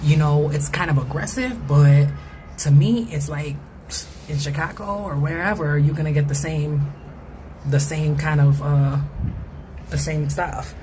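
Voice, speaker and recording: A woman's voice: deep voice, measured pace, background noise. An American woman talking casually and informally, as a vlogger chatting to viewers in slangy, conversational speech. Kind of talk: casual chatty vlog monologue.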